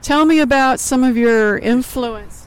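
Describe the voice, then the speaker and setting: A woman's voice: high voice, unhurried, clean tone, low-quality recording. A woman interviewing a band outdoors in a parking lot, friendly and laughing easily. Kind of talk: casual interview.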